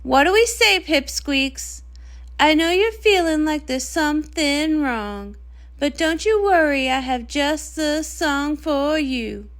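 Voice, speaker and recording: A woman's voice: sits high, lively intonation, takes it slowly, heavily acted. A woman voicing a perky, vain young pony princess who sings pop, with a gushing, theatrical manner in a storybook read-along. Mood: showy, sweet.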